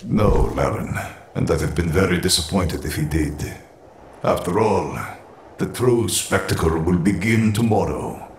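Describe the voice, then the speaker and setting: An actor playing a cold, imperious armored warlord in a dramatic comic adaptation, speaking in a grandiose, commanding, deliberate way. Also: male voice, low-pitched, slight background noise. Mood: cold menace. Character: cold, imperious armored tyrant warlord narrating his conquest. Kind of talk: dramatic comic narration and dialogue. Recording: echoing voice under loud music.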